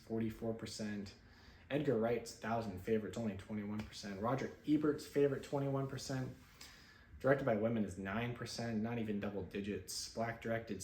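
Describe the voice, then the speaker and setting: A man casually talking through his film-watching stats with an offhand, relaxed manner and plenty of fillers, recording at home in his apartment. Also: fairly low pitch, roomy sound, a little hiss or hum, low-quality recording. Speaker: film vlogger. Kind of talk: casual screen-share commentary.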